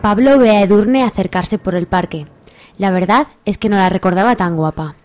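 A woman's voice: medium pitch, normal speed, darkish tone, a little hiss or hum. Narrator for a radio soap: woman, mid-range voice, scripted storytelling delivery.

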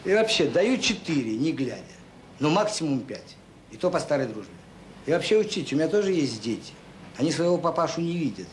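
An actor playing a busy middle-aged enterprise boss, jocular and brisk as he bargains, in a naturalistic radio drama. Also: a little high, big pitch swings, slight background noise. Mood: brisk, joking.

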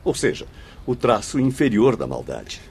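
A man's voice: fairly low pitch, sing-song, moderate pace, gravelly, darkish tone, a little hiss or hum. A man playing an earnest, reasoning spirit guide in a radio drama, courteous, formal and explanatory. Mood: reasoned, assured.

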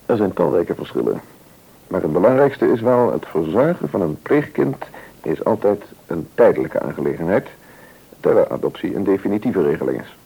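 Deep, gravelly, formal Dutch official. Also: male voice, sing-song, mellow, exaggerated voice, rough recording. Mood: businesslike. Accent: Netherlands Dutch. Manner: formal, measured, businesslike explaining. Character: sober, cautious male adoption official. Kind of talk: Dutch radio play acting.

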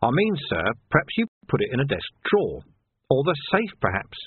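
A man voicing a shrewd, outwardly deferential police sergeant in an audiobook, measured and politely coaxing. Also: mid-range voice, huge pitch swings, husky, no echo, pitched above the performer's own voice, heavily acted. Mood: tactful. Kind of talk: audiobook character voice. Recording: narrow, phone-like band.

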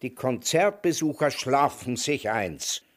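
Slow-talking, sardonic old emigrant. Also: male voice, mid-range voice, animated. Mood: irritated, mocking. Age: older. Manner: dry, sardonic, deliberate. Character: sardonic, world-weary old emigrant reminiscing and needling his companion. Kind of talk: German radio drama dialogue.

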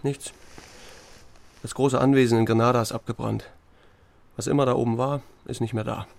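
An actor playing a laconic, unhurried country sheriff in a radio drama, dry in dialogue and narration. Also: male voice, mid-range voice, steady tone, gravelly, a little mellow, lightly acted. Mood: dry, low-key.

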